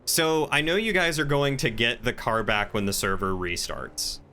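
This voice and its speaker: A man's voice: mid-range voice, lively intonation, moderate pace, bright, forceful tone. A man streaming a video game, chatting casually and musing aloud to himself as he plays. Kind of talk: casual livestream chat while gaming.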